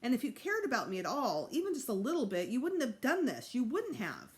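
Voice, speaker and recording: Woman playing an indignant preteen boy, swooping pitch. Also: huge pitch swings, a little fast. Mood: angry, defiant. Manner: emphatic, exasperated complaining. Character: indignant sulky preteen boy, sarcastic and stubborn.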